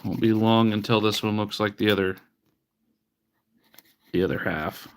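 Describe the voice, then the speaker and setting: A man's voice: fairly low pitch, steady tone, measured pace, clean tone. A man livestreaming as he cleans an old handheld console, chatting casually with wry, mock-disgusted asides and sounding a bit sniffly. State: sniffly from allergies. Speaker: livestreamer repairing retro handhelds. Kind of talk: casual live-stream chat while working.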